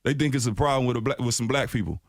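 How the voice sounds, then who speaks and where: A man's voice: medium pitch, rapid-fire, no room sound. A man from Oak Cliff, Dallas, a rapper talking with passion as a guest in a radio studio. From Oak Cliff, Dallas, Texas. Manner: impassioned, animated, mimics others in raised voice. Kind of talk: freestyle and radio interview talk.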